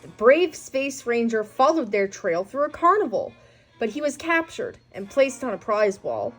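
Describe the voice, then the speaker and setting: A woman's voice: a little high, very animated, moderate pace, fairly bright tone. A woman reading a children's story aloud in a scripted narration style. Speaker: storybook reader. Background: music.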